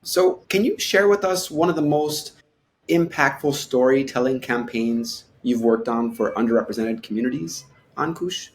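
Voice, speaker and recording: A man hosting an interview podcast, asking questions in a friendly, measured way. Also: medium pitch, lively intonation, a little hiss or hum. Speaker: podcast host.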